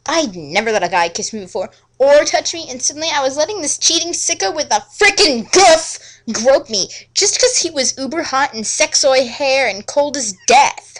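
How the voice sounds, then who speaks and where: A woman's voice: high voice, expressive, normal speed, few pauses, fairly bright tone. A woman host giving a dramatic, comic reading of fan fiction with theatrical, exaggerated emphasis. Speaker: host and reader.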